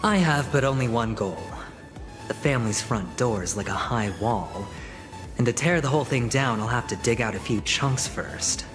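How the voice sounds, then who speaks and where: An actor playing a smooth, scheming young male negotiator in video game story dialogue, suave, theatrical and persuasive. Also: medium pitch, expressive, moderate pace, natural voice. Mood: persuasive, calculating. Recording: game music behind the voice.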